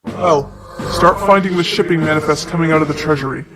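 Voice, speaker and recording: A decisive male team boss, played by an actor, speaking with deliberate urgency and authority. The voice is on the high side for a man and dramatic in delivery, with loud music all through it. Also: close to an everyday voice. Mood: urgent, commanding. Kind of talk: dramatic scripted acting.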